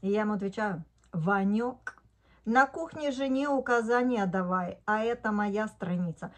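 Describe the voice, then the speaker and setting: A woman's voice: medium pitch, moderate pace. A woman in her fifties from Odesa, talking in a casual, indignant video diary at home into a phone camera, breaking into laughter. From Odesa, Ukraine. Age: 50s. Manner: chatty and indignant, bursts into laughter. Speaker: vlogger. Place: living room at home, indoors. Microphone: phone camera at arm's length.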